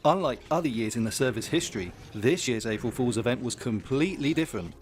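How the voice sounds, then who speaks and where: A man's voice: mid-range voice, animated, fast talker. A man reading scripted explainer narration for an online video. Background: background music.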